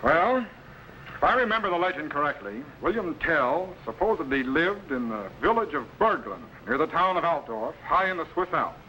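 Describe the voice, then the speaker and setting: Male space-time explorer: calm authority, crisp measured orders, bright tone, faint hiss of an old serial. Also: on the high side, rough recording. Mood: commanding, precise. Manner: instructive, precise, measured commands. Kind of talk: 1950s science fiction serial acting.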